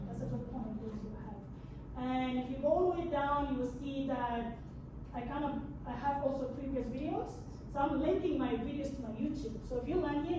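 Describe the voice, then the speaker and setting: A woman whose first language is Arabic, giving a relaxed, chatty talk to a class and answering questions. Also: high voice, noisy background. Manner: relaxed, chatty, with fillers and laughs. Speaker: guest lecturer. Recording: echoey room mic from a distance.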